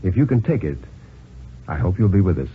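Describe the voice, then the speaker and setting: Animated low-voiced male radio storyteller, muffled recording. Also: slight background noise. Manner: measured, theatrical. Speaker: story narrator. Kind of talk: dramatic reading of thriller stories on 1950s radio.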